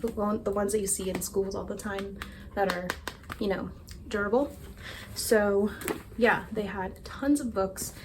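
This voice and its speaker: A woman's voice: medium pitch, darkish tone. Young female book vlogger, casual and rambling, self-deprecating, faint room noise. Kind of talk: casual chat.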